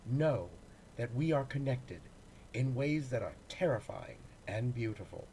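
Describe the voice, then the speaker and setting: A man, an actor, reading poems aloud in a dramatic, deliberate way with pauses between phrases. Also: mid-range voice, expressive, dark tone, a little hiss or hum. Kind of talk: dramatic poetry recitation.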